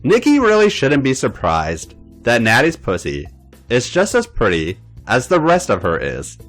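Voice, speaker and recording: Man narrating with lively pitch. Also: a little slow, faint music behind. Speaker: podcast host reading fan fiction. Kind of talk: story narration.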